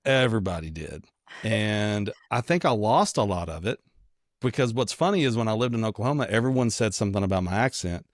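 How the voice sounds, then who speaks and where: A man's voice: on the low side, lively intonation, a little fast, no echo, studio quality. A man from Kentucky with a mild Southern American accent, chatting casually as a podcast co-host in a rambling, joking, self-deprecating way. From Kentucky, United States.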